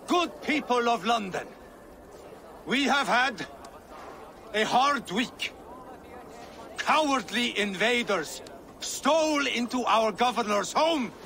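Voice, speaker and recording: An actor playing a fanatical, bitter archer commander in a video game, grandiose and declamatory. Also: male voice, very high voice, bright, forceful tone, heavily acted. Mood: solemn oratory. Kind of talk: dramatic video game acting. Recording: noisy, long echoing reverb.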